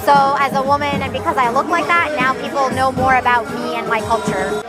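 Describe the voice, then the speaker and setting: High-voiced woman over music. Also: steady tone, a little fast, a loud crowd. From United States. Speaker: community member. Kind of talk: interview. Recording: music under the voice.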